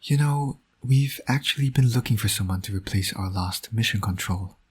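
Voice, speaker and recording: A low, husky male voice for a roleplay audio drama , a man acting it out. He is a smug villain who flirts and needles with dry sarcasm, yet shows a fatherly soft side. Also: normal speed. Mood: coaxing, wry. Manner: smug, teasing, sardonic. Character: teasing, flirtatious male supervillain, doting secret father.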